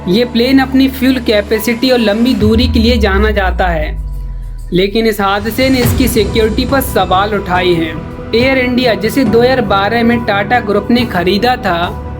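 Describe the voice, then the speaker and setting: A woman's voice: mid-range voice, normal speed. A woman delivers scripted documentary-style news narration. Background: background music.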